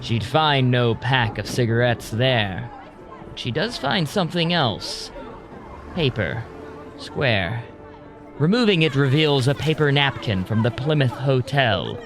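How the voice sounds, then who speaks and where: A male storyteller narrates an audio drama at a slow, deliberate pace. His pitch sits in the middle, and music plays clearly underneath. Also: expressive. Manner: measured, deliberate.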